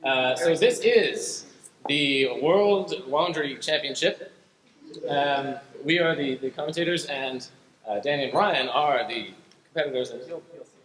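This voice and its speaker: A male MC addresses a live audience from a stage in a casual, slightly halting manner. The sound is roomy, with clear noise behind the voice. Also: on the high side, recorded from a distance. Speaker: improv show MC and performer. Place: indoor stage before an audience.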